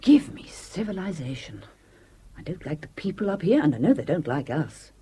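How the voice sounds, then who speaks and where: A cold, scheming sister in a radio drama, cutting and bossy. Her low, mellow voice is slightly husky and rises and falls with sharp scorn. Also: sing-song, normal speed, full character voice. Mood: contemptuous. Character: scheming haughty middle-aged woman, Claire. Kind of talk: 1970s radio drama acting.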